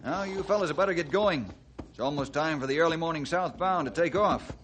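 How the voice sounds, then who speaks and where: An actor playing a firm, authoritative forest ranger leader in a 1950s radio adventure drama. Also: male voice, a little high, a little fast, lightly acted. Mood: brisk, commanding. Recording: noisy, clicking 1950s radio recording.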